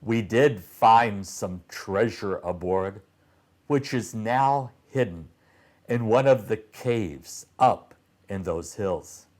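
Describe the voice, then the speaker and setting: A man voicing a calm, helpful male guide in a children's storybook, patiently explaining things. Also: fairly low pitch, slow pace. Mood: friendly, explaining.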